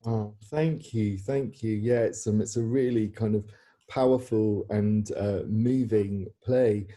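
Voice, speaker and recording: A man's voice: fairly low pitch, steady tone, slow pace, mellow. A man hosting an informal question-and-answer chat over a video call, phrasing his questions hesitantly. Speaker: theatre director hosting a Q&A. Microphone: video call microphone.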